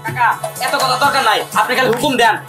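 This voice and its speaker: A man playing a rapid-fire village thug. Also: very high-pitched, fairly even intonation, loud music behind the voice. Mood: menacing. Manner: pushy, threatening. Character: aggressive thug henchman, eager for violence. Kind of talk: village drama villain acting.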